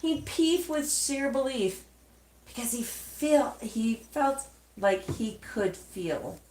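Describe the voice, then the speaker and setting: A woman reading a children's storybook aloud expressively, with measured, dramatic phrasing and pauses. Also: fairly high pitch, animated, darkish tone, a little hiss or hum. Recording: echoey, roomy sound.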